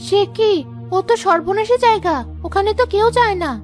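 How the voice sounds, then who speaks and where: A woman's voice: very high voice, fairly quick, faint music behind. A woman voicing a poor fisherman's devoted, brave wife in a cartoon, earnest and encouraging. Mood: concerned. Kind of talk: dramatic cartoon voice acting.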